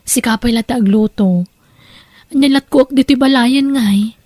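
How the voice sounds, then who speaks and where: Woman acting a high-voiced, long-suffering Ilocano wife. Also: measured pace, warm tone, no room sound. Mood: gentle, protesting. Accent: Filipino, Ilocano. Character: long-suffering young wife, affectionate, quietly protesting. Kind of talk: Ilocano audio drama acting.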